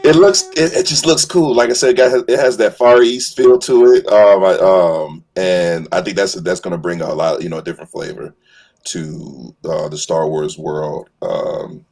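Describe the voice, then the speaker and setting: Deep, husky-voiced man chatting as a fan. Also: steady tone, normal speed, a little mellow. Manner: effusive, slangy, addresses listeners as bro and man. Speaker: panelist. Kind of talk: casual enthusiastic fan chat.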